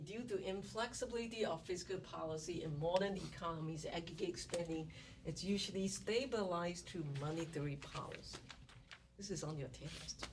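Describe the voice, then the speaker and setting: A woman from the Malaysia and Singapore area teaching economics in a classroom, chatty and given to acting out little scenes. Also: fairly low pitch. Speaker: economics lecturer. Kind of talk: classroom lecture. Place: university classroom. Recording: distant classroom recording with faint noise.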